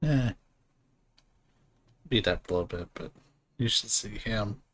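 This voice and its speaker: A man's voice: on the low side, a little slow, fairly bright tone. A man giving casual, informal live commentary as he streams a video game, reacting off the cuff. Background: game music.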